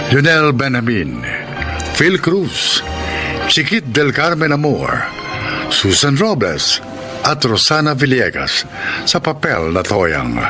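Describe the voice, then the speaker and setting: A man narrating in a solemn, measured, theatrical radio drama style. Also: medium pitch. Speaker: radio drama announcer and host. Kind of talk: dramatic scripted radio narration, reading credits. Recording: prominent music bed under voice. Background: loud music.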